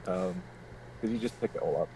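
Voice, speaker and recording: A man's voice: low voice, brisk, mellow, a little hiss or hum, rough recording. A British man with a London-ish accent, chatting casually and bantering with plenty of swearing. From Britain. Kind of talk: casual chat.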